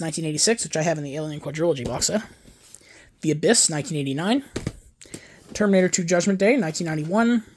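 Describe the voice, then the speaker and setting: A man's voice: a little high, slight background noise. A man in his twenties chatting casually in a show-and-tell vlog about his film disc collection, rambling and digressive, often correcting himself mid-sentence. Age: 20s. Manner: enthusiastic fan talk. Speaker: home video collector and YouTuber.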